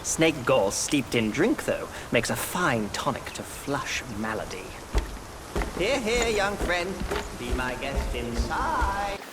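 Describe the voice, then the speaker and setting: A man's voice: medium pitch, sing-song, takes it slowly, music in the background. A man playing a genial, sly old hermit spirit in a fantasy game, with an archaic turn of phrase. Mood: sly, inviting. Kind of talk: dramatic fantasy game cutscene acting.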